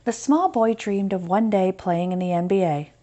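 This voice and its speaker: An American woman teaching through a children's story read-aloud, pausing to explain and stress key points. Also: medium pitch, mellow.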